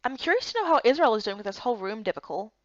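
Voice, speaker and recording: A woman's voice: fairly high pitch, big pitch swings, very fast, dry recording, muffled recording. A woman reading scripted first-person narration as the voice-over for a visual novel game.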